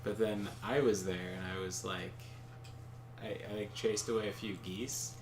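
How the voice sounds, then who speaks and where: Low-voiced man chatting in a roomy space. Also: steady tone, normal speed. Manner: rambling anecdotes with many fillers and laughs. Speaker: co-host of a game stream. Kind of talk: casual chat while gaming. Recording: roomy echo, faint background noise.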